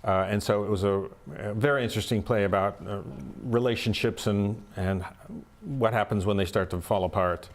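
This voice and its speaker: A man's voice: lowish voice, steady tone, moderate pace. An American man, a stage actor, speaking thoughtfully in an interview and repeating words as he starts his sentences. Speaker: actor in a community theater play. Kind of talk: casual interview chat.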